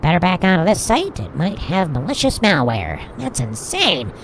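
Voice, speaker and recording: A woman's voice: on the low side, wildly swooping pitch, normal speed, slight background noise. A woman playing a chirpy, curious radio crew member in an audio drama. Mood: curious, surprised.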